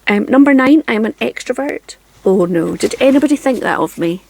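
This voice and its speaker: A Scottish woman in her forties, chatting casually to camera from her home craft room in a chatty, self-deprecating way with frequent ums and soft laughs. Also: fairly high pitch, animated, normal speed, vocal fry. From Scotland. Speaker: craft channel host.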